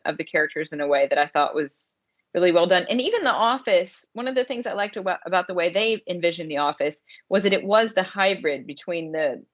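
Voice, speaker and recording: Woman cohosting a podcast, lowish voice, casual review chat, emphatic, kinda muffled lo-fi sound. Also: a bit bright. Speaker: podcast co-host. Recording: dull lo-fi audio.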